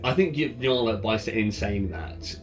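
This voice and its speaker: A man casually narrating as game master at a tabletop game, often halting and repeating his words. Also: on the low side. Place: in-person tabletop game session. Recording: clear music behind voice.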